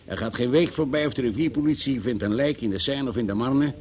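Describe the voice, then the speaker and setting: An actor playing a formal, sceptical examining magistrate who questions insistently in a Dutch radio play. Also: male voice, mid-range voice, quick pace, a little mellow, muffled recording, natural voice. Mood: probing, formal. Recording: noisy old radio play recording.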